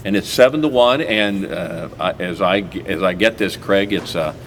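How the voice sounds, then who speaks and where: A man's voice: sits low, moderate pace, fairly bright tone. A man hosting a local TV interview, talking in a rambling way with many fillers and restarts. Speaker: talk show host. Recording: noisy recording.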